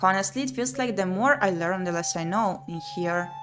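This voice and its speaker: A woman streamer reading a visual novel aloud in an expressive, theatrical way as she plays through it. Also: medium pitch, brisk. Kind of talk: expressive read-aloud of visual novel text with playthrough commentary.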